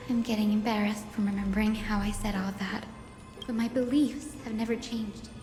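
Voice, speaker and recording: An actress playing a gentle, cheerful young woman of the sky folk in a video game, with a sweet, earnest, playful manner. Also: medium pitch, fairly even intonation, normal speed, lightly acted. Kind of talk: video game character acting. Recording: echoey game audio over music.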